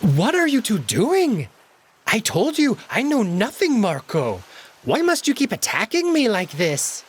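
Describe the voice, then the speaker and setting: A man's voice: sits high, huge pitch swings, unhurried, breathy edge, pitched above the performer's own voice, exaggerated voice. A man voicing a small timid male cat in a visual novel, stammering guiltily and pleading. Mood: whining, pleading. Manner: stuttering, breathless pleading.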